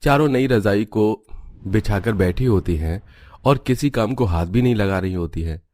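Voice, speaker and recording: A man's voice: lowish voice, normal speed, a little mellow, dry recording. A man narrating a scripted cartoon family story.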